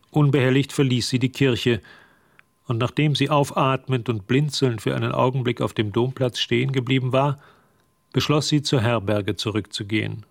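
A man giving measured, composed scripted narration for a literary radio drama. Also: mid-range voice, gravelly, no echo.

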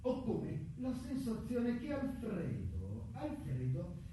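Radio play: unsettlingly watchful, insinuating employee, high-pitched, halting, repetitive, in a very reverberant space. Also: male voice, wildly swooping pitch, warm tone, heavily acted. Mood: sly insinuation. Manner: halting, repetitive, insistent, trailing into questions. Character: insinuating middle-aged male employee, ex human resources, unsettlingly observant. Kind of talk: Italian radio play acting. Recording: very echoey, distant, faint background noise.

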